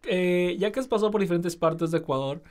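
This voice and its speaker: A man's voice: sits high, fairly quick, darkish tone. A man hosting a student podcast interview, asking questions in a friendly way with frequent fillers. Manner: friendly, lots of fillers like "eh" and "este".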